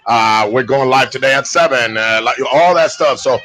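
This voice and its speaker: A man from Puerto Rico hosts a casual live show in a bright, forward voice at an ordinary male pitch. He talks informally and directly to his listeners, as if to brothers. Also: normal speed, faint music behind. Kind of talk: casual live-stream chat.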